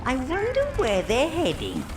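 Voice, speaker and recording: A man voicing a wizened, gleefully evil old male minion who advises his dark lord in a video game cutscene, fawning and mischievous. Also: extremely high pitch, wildly swooping pitch, measured pace, exaggerated voice. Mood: scheming. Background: loud game music.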